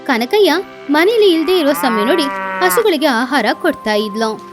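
A woman's voice: high-pitched, lively intonation, normal speed, over music. A woman narrating a scripted children's moral story as the storyteller.

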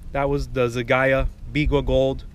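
A man's voice: medium pitch, level tone, a little slow. A man in his thirties, a fishing vlogger, chatting casually and playfully from a small boat on open water, counting his catches. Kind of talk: casual chat. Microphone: action camera on the boat. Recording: wind and water noise outdoors.

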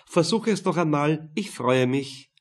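A man's voice: fairly high pitch, expressive, measured pace, pitched above the performer's own voice. A man voicing a woman's sweetly inviting recorded greeting with a Viennese accent, recited and coaxing.

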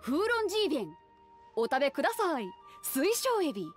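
Bold big sis ship captain, anime game dub, highish n lively, confident. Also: female voice, fairly quick, soft background music. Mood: pleased. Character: bold, easygoing adult female sea captain.

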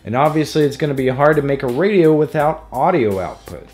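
A man's voice: fairly high pitch, animated, brisk, darkish tone. A man narrating a scripted electronics tutorial in a playful, jokey manner. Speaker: host of an electronics DIY tutorial. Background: background music.

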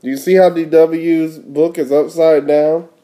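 A man's voice: a little high, fairly even intonation, unhurried, warm tone. A father reading a picture book to his young child, explaining it casually and prompting with questions. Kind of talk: storybook read-aloud with casual explanations.

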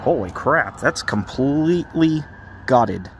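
Male vlogger, middle pitch, casual and joking, phone audio outdoors with clear road noise. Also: expressive, slow, dull audio. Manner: chatty, amused, frequent laughs and asides. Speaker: urban exploration vlogger. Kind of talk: casual walk-and-talk narration. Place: outdoors in an empty parking lot. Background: traffic and outdoor ambience.

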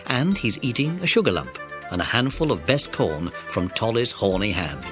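Guy narrating a kids story, very expressive, close dry studio sound, soft music. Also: on the low side, normal speed. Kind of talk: scripted children's story narration.

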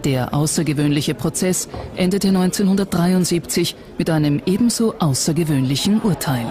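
A documentary narrator reading a script in a measured, serious manner. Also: mid-range voice, a crowd in the background. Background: music under the voice.

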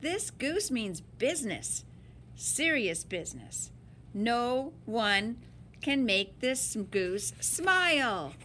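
Female picture-book reader for children, emphatic and playful, with lively swings in pitch over faint noise. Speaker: story-time reader. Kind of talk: expressive picture-book read-aloud for children.

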